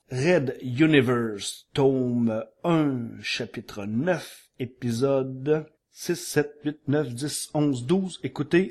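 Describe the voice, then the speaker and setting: A man's voice: mid-range voice, darkish tone. A man with a Quebec French accent giving an informal, enthusiastic review segment as a podcast columnist.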